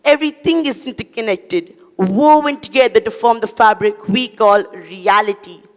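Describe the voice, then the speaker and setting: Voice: mid-range voice, normal speed, lightly acted. A teenage student voicing a talking cockroach that lectures humans with indignant, mocking questions. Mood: preachy. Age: teens. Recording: echoey school hall.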